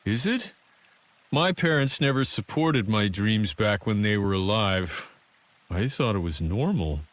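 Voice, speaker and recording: An actor playing a timid, slow-witted henchman in a video game, hesitant and stammering. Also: lowish voice, lively intonation. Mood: naive. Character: timid dim-witted nervous male henchman. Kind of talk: theatrical game cutscene voice acting.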